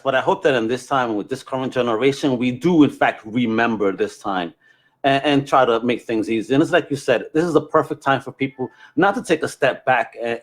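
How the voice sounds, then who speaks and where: A man hosting a podcast in casual chat, with an enthusiastic, slangy and emphatic way of talking. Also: medium pitch. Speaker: podcast host.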